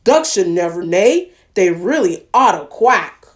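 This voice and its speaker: A disapproving storybook horse, put on by a woman, pitched down from a bright storyteller's register. He sounds taken aback and hands down his correction slowly and sternly. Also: sing-song. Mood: stunned disapproval. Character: stunned, disapproving male farm horse.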